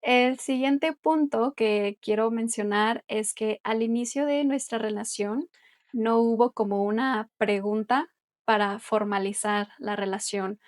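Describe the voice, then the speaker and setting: A woman's voice: on the high side, a little slow. A Mexican woman who teaches Spanish, co-hosting a casual podcast and explaining things in a chatty way with light laughs. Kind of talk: casual conversational podcast chat.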